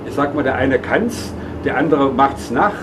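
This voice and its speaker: A man's voice: medium pitch, animated, normal speed, noisy background. A man presenting a regional food award in formal speech, often hesitating with fillers. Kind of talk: official speech.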